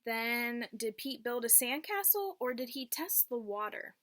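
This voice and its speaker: A woman's voice: a little high, expressive, unhurried, a bit bright. A woman reading a picture book aloud to young children, teacher-like, in simple phrases and checking in with questions.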